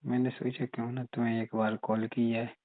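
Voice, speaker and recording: A man's voice: medium pitch, monotone, fairly quick, natural voice. A man playing an easygoing, flirtatious married brother-in-law, chatting casually on a muffled phone call recording. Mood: relaxed, flirty.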